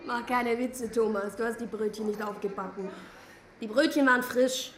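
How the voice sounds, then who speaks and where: An actress playing a frightened, accusing girlfriend in a radio drama, questioning gravely and pointedly. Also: a little high, moderate pace, fairly bright tone, slight background noise, close to an everyday voice. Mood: grave suspicion. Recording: echoing room, faint music underneath.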